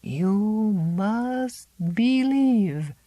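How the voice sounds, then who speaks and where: A woman reading aloud, voicing a gnarled old woman servant who speaks broken English with French words in a slow, sing-song, ominous way. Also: medium pitch, darkish tone, no room sound, voice pushed up, lightly acted.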